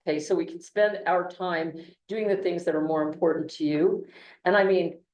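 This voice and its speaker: A woman's voice: fairly low pitch, normal speed, muffled recording. A woman instructor giving a conversational classroom lecture, often restarting sentences and checking in with "okay". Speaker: engineering instructor. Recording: echoey classroom, from far off.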